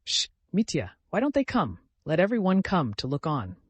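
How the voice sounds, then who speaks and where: Guy doing a drunk excitable young woman, babbly, kinda high, dead dry sound. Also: moderate pace, husky, no room sound, full character voice. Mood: tipsy pleading.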